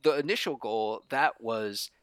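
A man's voice: mid-range voice, a little slow, a bit bright, clear, resonant tone, no echo. A man speaking as a guest in a casual, bantering conversation, slangy and effusive about his project. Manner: slangy, effusive, says "man" and "dude" often. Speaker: guest, comics creator.

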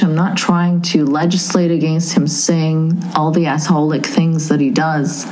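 Earnest woman interviewer, low voice. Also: a little mellow, rough recording. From Saudi Arabia and Pakistan. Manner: earnest, frank, many listening murmurs. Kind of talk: interview questions.

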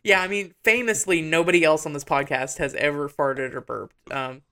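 A woman comedian co-hosting a podcast, animated and sarcastic, laughing often. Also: sits low, moderate pace. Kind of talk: casual podcast banter.

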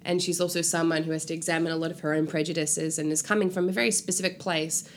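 A woman's voice: low voice, fast talker, slight background noise. A woman speaking casually and informally as a guest author in an interview. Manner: animated, informal, frequent "like" and swearing.

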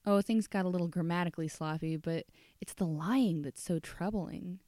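A woman's voice: fairly low pitch, moderate pace, warm tone, no echo, clean studio sound, lightly acted. A woman reading a short story aloud as a stern, disapproving female schoolteacher, prim and formal. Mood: troubled, insistent.

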